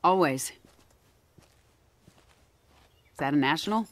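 Determined middle-aged army general, clipped n earnest, video game cutscene. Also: female voice, low voice, expressive, fast talker. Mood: businesslike. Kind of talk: cinematic video game acting.

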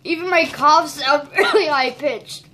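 High-pitched, giggly young vlogger. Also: unhurried, pushed and bright. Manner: giggly, exclaiming, slangy. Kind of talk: casual challenge vlog chat. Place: small bedroom, indoors. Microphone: webcam or laptop mic at arm's length. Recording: noisy home recording with crinkling foil. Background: crinkling, crumpling foil balloon.

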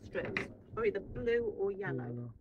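Young female guide, explanatory and informal, checking understanding, picked up off-mic amid loud room noise. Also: mid-range voice, big pitch swings, unhurried, far from the mic, highs cut off. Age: young adult. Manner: checks understanding with frequent tag questions. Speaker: air traffic controller giving a tour. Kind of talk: informal explanatory talk to a visiting group. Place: indoor radar control room. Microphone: handheld camera a short distance away.

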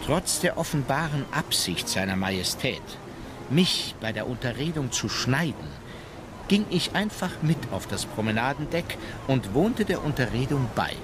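A man acting a dry, terse officer in a radio play. His mid-pitched voice moves expressively, with clear ambient noise around it. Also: very animated, moderate pace, close to an everyday voice. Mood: resentful. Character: dry military officer keeping a private diary. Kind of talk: historical radio play, diary narration.

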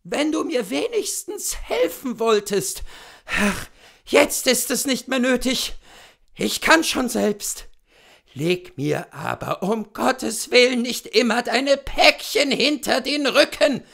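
A man acts out an ailing noble lady from a literary audiobook. The voice is pitched very high and sounds nervous and sharp. She scolds bitterly, with weary irritation. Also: big pitch swings, slow pace, gravelly, exaggerated voice. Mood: bitter, reproachful. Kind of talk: literary audiobook character reading.

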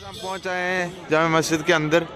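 A young man from Jammu and Kashmir talks casually to his vlog viewers through a phone held at arm's length. His voice sits a bit high for a man. Clear city and traffic noise surrounds him as he keeps pointing out the sights. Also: flat delivery, normal speed. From Jammu and Kashmir, India. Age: young adult. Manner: addresses viewers often, pointing things out. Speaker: travel vlogger. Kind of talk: casual vlog narration to camera. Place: outdoors on busy streets, in vehicles and at monuments. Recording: noisy city street with traffic.

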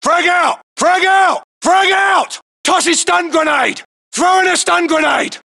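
An actor playing a tough British SAS soldier in a military shooter game, yelling urgent, clipped battle callouts. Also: male voice, very high-pitched, takes it slowly, gravelly, full character voice. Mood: urgent, shouting.

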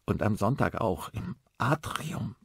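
A man's voice: fairly low pitch, big pitch swings, unhurried, fairly bright tone, husky, no room sound, studio quality, voice pushed up, heavily acted. A man voicing a frightened, sarcastic, shaken wife in an audiobook, emotional and emphatic. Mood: shaken, confiding. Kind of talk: dramatised audiobook dialogue.

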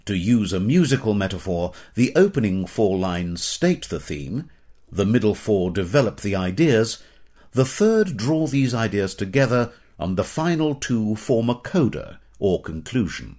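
A middle-aged British man reading scripted educational narration in a measured, formal, literary manner. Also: fairly low pitch, sing-song, warm tone.

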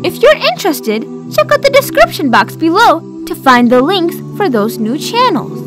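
A woman's voice: extremely high pitch, wildly swooping pitch, extremely slow. A woman reading a scripted promotional appeal as the announcer for a cartoon channel. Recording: music behind the voice.

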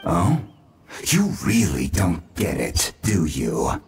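Deep, swaggering bandit warlord. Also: male voice, huge pitch swings, slow, slight background noise, exaggerated voice. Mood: taunting. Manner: taunting, mocking, blustering. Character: menacing, gloating bandit samurai warlord, armored man. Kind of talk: video game character acting.

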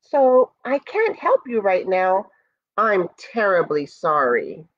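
A woman's voice: mid-range voice, big pitch swings, slow pace, lo-fi sound. A woman voicing a towering female bear in a children's storybook, busy, hesitant and apologetic with excuses. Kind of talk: children's storybook character reading.

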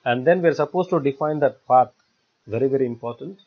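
A man giving a step-by-step software tutorial in a halting, repetitive way. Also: medium pitch. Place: voice-over for screen recording. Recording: dull, lo-fi screencast audio.

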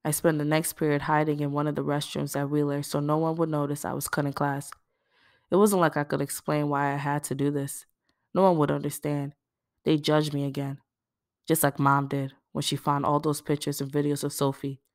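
A woman's voice: sits low, little pitch movement, brisk, darkish tone, no echo, clean studio sound. A woman reading a first-person novel aloud as scripted narration. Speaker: audiobook reader.

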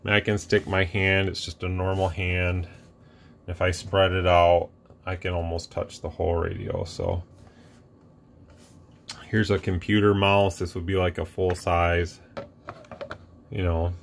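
A man casually explaining a radio on his workbench, relaxed and rambling with fillers and restarts. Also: deep voice, unhurried, gravelly, pauses often, slight background noise. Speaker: radio reviewer. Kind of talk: casual product demo. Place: workbench room with radio gear.

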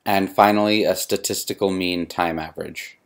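Male narrator, low register, measured and methodical, for a scripted software demo. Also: fairly even intonation, creaky. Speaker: software demonstrator. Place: voice-over for a screen recording.

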